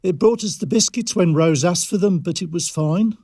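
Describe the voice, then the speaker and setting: A man's voice: high voice, normal speed, dark tone, breathy edge, dry recording, clean studio sound. An actor playing a polite, mild, slightly apologetic male cleaner in a scripted audio drama, simple and deferential.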